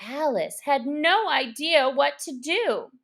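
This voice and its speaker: A woman reading a children's story aloud as an expressive audiobook narrator. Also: sits high, slow pace, very noisy. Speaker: storyteller, reader.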